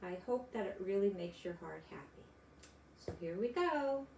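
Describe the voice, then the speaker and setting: An older woman, a grandmother storyteller, reading aloud and chatting to young children in gentle, simple phrases. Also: mid-range voice, expressive, moderate pace, gravelly. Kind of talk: children's read-aloud and chat to young listeners. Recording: echoey room with background noise.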